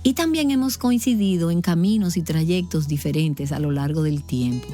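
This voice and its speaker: Friendly female host with mellow voice. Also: fairly low pitch, moderate pace, faint music behind. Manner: friendly, conversational. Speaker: podcast host. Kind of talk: interviewing a guest.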